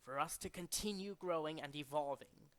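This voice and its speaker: A man's voice: on the high side, a bit bright, close to an everyday voice. A man voicing a condescending, cultured and fanatical villain leader from a video game, speaking in a formal, theatrical way. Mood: cold, lofty. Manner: formal, pompous, theatrical, deliberate.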